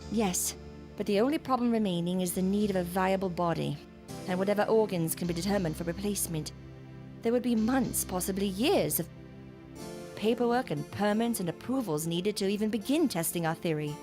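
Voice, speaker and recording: A woman's voice: medium pitch, brisk, music in the background, natural voice. An actress playing an earnest, exacting woman scientist in a gothic audio drama, speaking formally and precisely. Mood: intent, explaining. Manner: formal, precise, lecturing diction.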